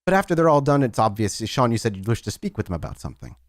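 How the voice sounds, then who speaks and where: A man's voice: mid-range voice, sing-song, very fast, no room sound, studio quality. A man acting as game master, improvising narration and putting questions to players in a tabletop roleplaying game.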